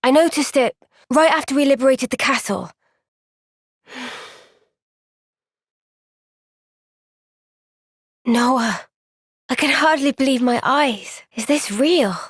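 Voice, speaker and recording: Earnest young soldier, high, unhurried voice. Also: female voice, slightly rough, dry recording, clean studio sound. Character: earnest, thoughtful young woman, a soldier in fantasy game. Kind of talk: video game cutscene voice acting.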